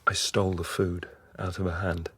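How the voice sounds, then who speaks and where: An actor playing a weary, haunted middle-aged dissident in an intimate, brooding radio drama style. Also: male voice, deep voice, flat delivery, fairly bright tone, a little hiss or hum. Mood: guilty, grieving. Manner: slow, halting, brooding. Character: weary, haunted male dissident confiding memories and fears.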